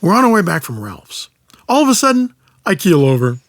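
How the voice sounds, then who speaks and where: Man acting a gravelly, exasperated old-school guy. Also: sits high, very animated, fairly quick, no echo. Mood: dry, explaining. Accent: American. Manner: sardonic, exasperated, grumbling. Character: gruff, exasperated old-school older man teaching a kid. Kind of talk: audio drama comedy dialogue.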